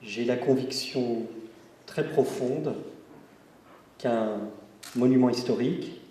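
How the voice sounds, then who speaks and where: A man giving a solemn public speech as an architect, deliberate, pausing between phrases. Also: medium pitch, dark tone. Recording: very echoey hall, distant, faint noise.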